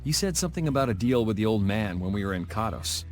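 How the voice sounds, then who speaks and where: A man's voice: on the low side, animated, fast, lightly acted. An actor playing a cool, wry young swordsman with a casual, teasing way in a video game. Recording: music under the voice.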